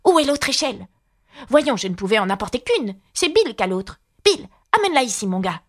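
A woman's voice: on the high side, very animated, a little fast, voice pushed up. A woman voicing bustling, bossy little animal workers in a storybook, calling out urgently and colloquially. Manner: urgent, shouting orders, colloquial. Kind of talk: storybook character reading.

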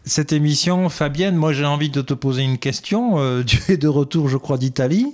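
A man's voice: fairly high pitch, moderate pace, darkish tone. A man hosting a local radio show, prompting his guest with friendly questions. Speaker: radio host. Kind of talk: casual radio chat.

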